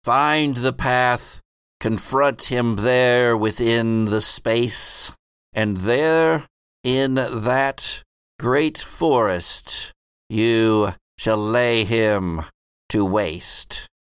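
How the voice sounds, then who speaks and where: Man playing a slow, portentous rhyming seer. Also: mid-range voice, a bit bright, clear, resonant tone, no echo, clean studio sound. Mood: solemn, commanding. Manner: slow, portentous, sing-song rhyming delivery. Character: cryptic male seer speaking in slow rhymes.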